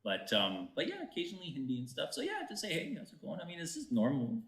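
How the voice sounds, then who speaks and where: A man's voice: on the high side, huge pitch swings, fast, gravelly, a bit bright. American man in an echoey room. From United States. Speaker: actor and voiceover artist, interview guest. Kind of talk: casual chat on a live interview show. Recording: roomy, echoing indoor sound.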